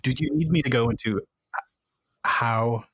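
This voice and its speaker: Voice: medium pitch, measured pace, fairly bright tone, breathy edge, no room sound. A player roleplaying in a tabletop game, stammering and exasperated. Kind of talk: in-character roleplay.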